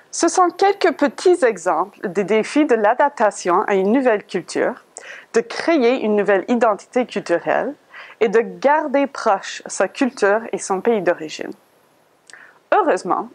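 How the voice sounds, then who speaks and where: A woman with a fairly high voice narrates a virtual museum tour. She reads a prepared script and poses questions to her listeners.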